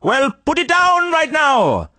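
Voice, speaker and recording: An actor playing a mad old desert hermit in a comic video game, ranting and rambling erratically. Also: male voice, extremely high pitch, wildly swooping pitch, slow pace, sharp tone, no echo, full character voice. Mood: frantic, addled. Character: mad old desert hermit, addled, shouting at imaginary friend.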